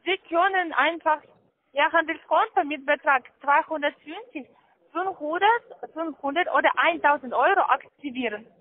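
A woman with a foreign accent giving a sales pitch over the phone, in halting, careful non-native phrasing. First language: not German. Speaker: call centre account manager. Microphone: telephone. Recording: muffled narrow-band phone line.

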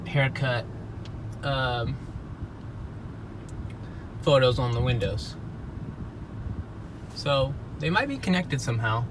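Older man, chatty, musing, outdoor vlog, traffic noise. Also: mid-range voice. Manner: chatty, musing, self-interrupting. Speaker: vlogger. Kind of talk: casual walking vlog. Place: outdoors on a city street.

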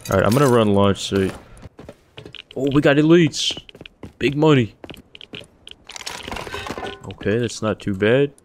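A young man with a deep voice giving casual, joking commentary while playing a survival game, swearing often as he thinks aloud. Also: slight background noise.

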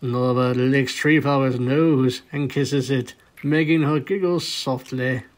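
A man's voice: medium pitch, steady tone, slow. A man narrating a cartoon fan fiction romance, reading it out like a story. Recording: faint noise behind the voice.

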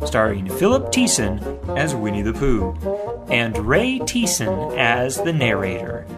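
A woman's voice: extremely low pitch, huge pitch swings, a little slow. A woman announcer giving a scripted introduction to an audio drama. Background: loud plucked guitar music.

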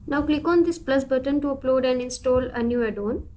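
A woman with a high-pitched voice presents a software tutorial. Her delivery is scripted and instructional, with deliberate phrasing as she takes each step in turn. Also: a little hiss or hum. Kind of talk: scripted step-by-step screen demo narration.

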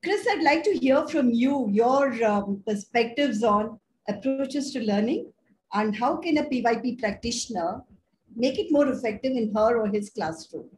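A woman's voice: a little high, expressive, unhurried, a little mellow. A woman moderating an online panel discussion over a video call, appreciative and emphatic as she turns to the next panellist. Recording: roomy video call with faint noise.

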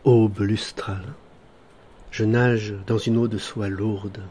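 Guy reading poems real slow, soft mellow tone, kinda husky, lots of pauses between short lines. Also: lowish voice, fairly even intonation. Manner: measured, contemplative, with deliberate pauses between short phrases. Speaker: poet reading own poems. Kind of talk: slow literary poetry recitation.

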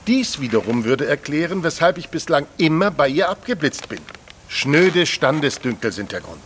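A dandyish young gentleman in an audio drama. The voice is fairly high for a man and lively, flowery and self-important, over a rumbling noise. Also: moderate pace. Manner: pompous, wordy. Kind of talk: German audio drama acting.

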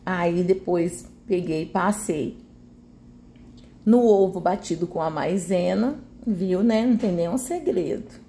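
A woman's voice: on the low side, takes it slowly, warm tone, a little hiss or hum. A Brazilian woman giving a casual recipe voice-over in a chatty, homely way, often adding little tag questions to check agreement. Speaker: home cooking video host.

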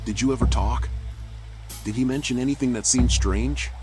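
An actor voicing a wry, world-weary raccoon private detective in a noir game, talking in a dry, terse way. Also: male voice, mid-range voice, moderate pace, close to an everyday voice. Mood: dry, patient. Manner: dry, laconic, businesslike. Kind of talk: noir video game voice acting. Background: music.

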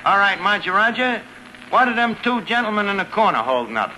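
A man's voice: high-pitched, very animated, brisk, exaggerated voice. An actor playing a scheming, slangy New tavern manager with wisecracking showman patter in a 1950s radio comedy. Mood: showy patter. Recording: noisy old radio broadcast, loud studio audience.

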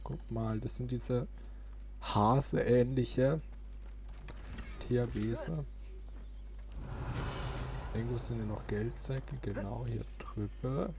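A man commentates casually on his gameplay. He speaks slowly at an average pitch, playfully blurting short sound words. Game noise is clear behind him. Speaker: let's player, host. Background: video game ambience.

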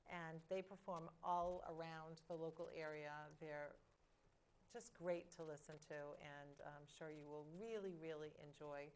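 A woman's voice: low-pitched, steady tone, unhurried, slight background noise. A middle-aged woman, co-chair of an event, talking it up on a local show in an upbeat promotional tone. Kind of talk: local cable talk show chat. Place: studio set against an outdoor backdrop. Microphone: lapel mic.

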